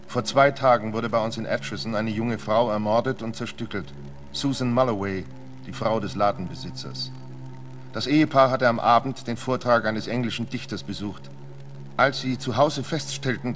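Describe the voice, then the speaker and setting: An actor playing a formal, courteous frontier sheriff reading out his letter in a radio play, measured and sober. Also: fairly low pitch, a bit bright, lightly acted.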